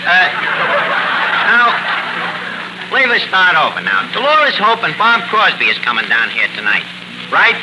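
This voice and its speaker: A man's voice: high voice, huge pitch swings, measured pace, pauses often, heavily acted. An actor playing a bumbling, cocky male tavern manager with a New York accent, wisecracking and mangling words in a 1940s radio comedy. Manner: wisecracking, self-important malapropisms. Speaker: comedy show lead. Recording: noisy 1940s radio broadcast recording.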